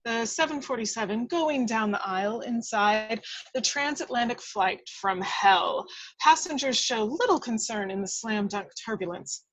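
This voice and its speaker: A woman's voice: a little high, animated, moderate pace, fairly bright tone, rough recording. Woman narrating a screenplay. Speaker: table read narrator reading stage directions. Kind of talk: dramatic scripted narration of a screenplay.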